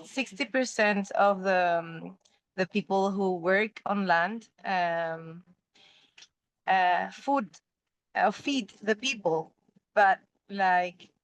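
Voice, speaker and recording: Slow, halting woman with a Chilean accent. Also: mid-range voice, no echo. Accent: Chilean Spanish. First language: Spanish. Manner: halting, searching for words. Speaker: workshop participant. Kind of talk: asking a question on a video call. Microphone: laptop or phone on a video call.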